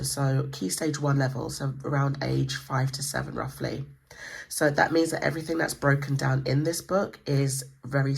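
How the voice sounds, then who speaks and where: A woman with a croaky voice from a cold, chatting casually through a book review, with lots of ums. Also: very deep voice. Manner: chatty, many ums, relaxed explaining. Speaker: homeschooling channel host. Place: indoors at a table.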